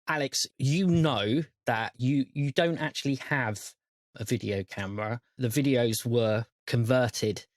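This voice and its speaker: A man's voice: medium pitch, measured pace. A man with a British accent making wry, casual table talk as the game master of a roleplay session.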